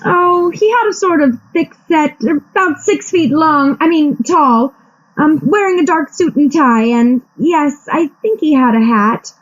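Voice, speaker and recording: Woman playing a high-voiced anxious damsel, slightly muffled. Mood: worried. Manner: halting, pleading. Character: anxious, coy young damsel client in distress. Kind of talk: comic radio play acting.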